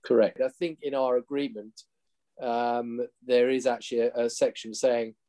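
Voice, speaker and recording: A man with a Lincolnshire accent, an investment manager explaining his work in an interview in a measured way. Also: mid-range voice, flat delivery, dark tone. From England. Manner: measured, explanatory, restarts at times.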